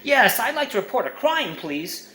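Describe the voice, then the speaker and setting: A man with a naturally high voice reviews an old video game for comedy. He talks in an excitable, emphatic way, and his pitch rises and falls a lot. There is a faint noise in the background. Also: normal speed.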